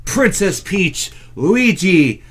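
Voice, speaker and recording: A man's voice: high-pitched, very animated, extremely slow, a bit bright, breathy edge. A man hosting a gaming podcast in casual chat, with a wry, stop-and-start delivery. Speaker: podcast host.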